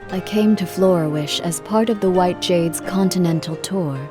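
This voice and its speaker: A woman playing a courteous, reflective, dignified travelling performer in a video game, with measured formal delivery. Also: on the low side, lightly acted. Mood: gracious. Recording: music under the voice.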